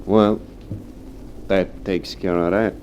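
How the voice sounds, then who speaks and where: A man's voice: deep voice, fairly even intonation, takes it slowly, darkish tone, a little hiss or hum. An actor playing a callous gangster hitman with slangy tough talk in a 1940s radio crime drama. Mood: cold, scornful. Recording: old 1940s radio broadcast.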